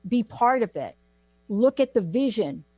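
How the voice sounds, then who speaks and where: A woman's voice: on the high side, sing-song, a little slow, darkish tone, no echo. A woman hosting a talk radio show, gushing and effusive as she welcomes her guests back.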